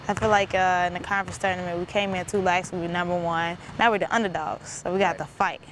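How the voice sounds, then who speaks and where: A woman's voice: fairly low pitch, steady tone, fast. A young woman with an American accent, an athlete giving a casual interview answer. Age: young adult. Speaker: college basketball player. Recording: noisy outdoor interview.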